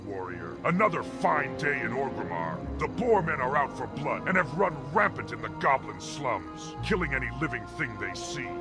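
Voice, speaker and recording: A man playing a gruff, battle-hardened orc warrior in a video game, stern and martial. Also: mid-range voice, close to an everyday voice. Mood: grim. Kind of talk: video game character acting.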